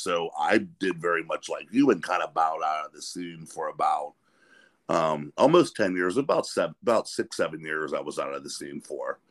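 A middle-aged American man chatting casually as a podcast host, reflective and halting, repeating words as he thinks. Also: low-pitched, fairly bright tone. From Pennsylvania, United States. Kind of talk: casual conversational interview.

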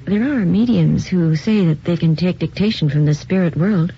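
A woman playing a brisk, direct and demanding female editor in a radio drama. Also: fairly low pitch, gravelly, dark tone, breathy edge. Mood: probing. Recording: muffled lo-fi radio drama, faint music.

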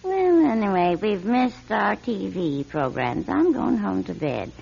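Radio drama: smug, secret-hoarding older woman, slow and knowing, wildly swooping pitch, lo-fi sound. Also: very animated, vocal fry, darkish tone, slightly rough, heavily acted. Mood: sly, smug. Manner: sly, knowing, theatrical delivery. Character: nosy, smug older spinster who collects neighbours' secrets.

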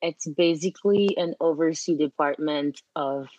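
Guest: late-20s woman from Martinique, French native, low voice, slow unhurried talk, dry close sound. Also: no room sound, muffled recording. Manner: relaxed, hesitant with fillers, laughs often. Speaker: guest, fashion model. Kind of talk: interview conversation.

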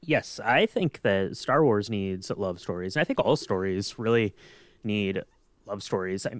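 A man's voice: lowish voice, animated, unhurried, no room sound. Thoughtful man, dry close podcast sound. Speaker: podcast panelist. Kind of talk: casual chat.

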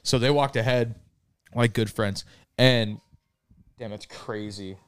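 Young male co-host, casual rambling storyteller, mid-pitched voice. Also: fairly even intonation, slow pace, smooth, no room sound. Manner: rambling, full of "like" fillers. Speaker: podcast co-host.

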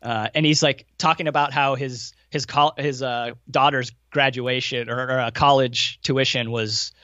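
A man's voice: medium pitch, fairly even intonation, moderate pace, pushed and bright, no room sound, muffled recording. An American man answering interview questions in a casual, informal, anecdotal way, with some self-deprecation. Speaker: podcast guest, value investor running a fund.

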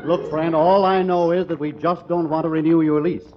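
An actor playing a stern, curt, disapproving bank official in an old radio comedy. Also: male voice, on the high side, fairly even intonation, natural voice. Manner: brisk, disapproving. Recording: dull old radio recording.

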